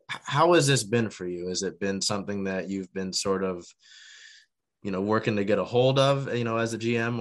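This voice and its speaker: A man with a low-pitched voice hosts a sports interview podcast. He circles around his questions, piling on fillers and restarts before he gets to the point. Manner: rambling questions with many fillers. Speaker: podcast host.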